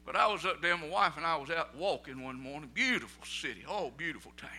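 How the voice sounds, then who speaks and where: A middle-aged man with a Southern American accent preaching a sermon, telling folksy stories in plain vernacular and building up to loud preaching peaks. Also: a little high, animated, fast talker. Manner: folksy storytelling, rising to shouted preaching peaks.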